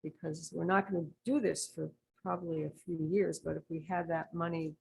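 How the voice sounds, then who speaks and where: Woman board member, gravelly, low, hesitant as she thinks aloud. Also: steady tone, low-quality recording. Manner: thinking aloud, halting and tentative. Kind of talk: deliberating in a public meeting. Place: town meeting room.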